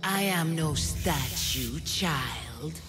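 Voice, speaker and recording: An ancient Sphinx guarding knowledge in a video game. She speaks very slowly and imperiously, taunting whoever challenges her, over clear background music. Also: sits low, lively intonation, exaggerated voice. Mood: haughty, taunting. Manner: slow, imperious, condescending. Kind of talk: scripted video game acting.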